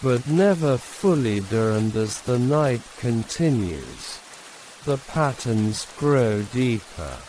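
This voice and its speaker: A man narrating a sleep story in a calm, hushed, soothing manner, leaving long pauses between short phrases. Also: mid-range voice, low-quality recording. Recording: steady rain and hiss behind voice. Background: rain.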